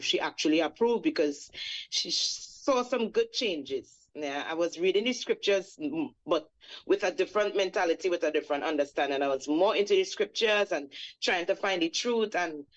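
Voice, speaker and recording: A woman's voice: sits low, moderate pace, highs cut off. A woman in her forties from Trinidad with a Trinidadian accent, a reggae singer guest recounting her life in a reflective, storytelling way with many fillers. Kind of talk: radio interview.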